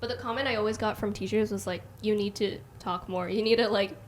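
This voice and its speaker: A soft-spoken young woman leads a relaxed conversation and keeps inviting her guests to share. Her voice sits at an ordinary middle pitch. A faint hiss runs under the recording. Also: quick pace. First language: Mandarin. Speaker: host. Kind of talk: casual podcast chat.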